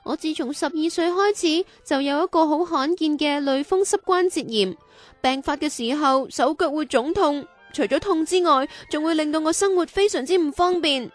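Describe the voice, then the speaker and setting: Casting: adult actress for a sad, sincere little girl, extremely high childlike voice, plaintive delivery. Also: moderate pace, soft background music, lightly acted. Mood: earnest, sorrowful. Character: earnest, sorrowful young girl with painful illness. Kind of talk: Cantonese children's radio drama acting.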